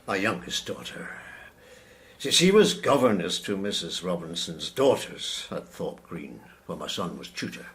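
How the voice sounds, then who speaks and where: A man's voice: low voice, very animated, a bit bright, full character voice. Guarded elderly clergyman, voice swinging widely. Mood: guarded, regretful. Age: older. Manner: hesitant, measured, formal wording. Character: elderly grieving clergyman father, guarded and courteous. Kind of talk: period radio drama acting.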